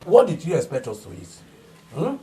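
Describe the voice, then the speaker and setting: A man's voice: on the high side, wildly swooping pitch, fairly quick, dark tone, slight background noise. Swooping, greedy young man. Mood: defiant, smug. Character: defiant, greedy young person eating forbidden food. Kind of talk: film drama dialogue.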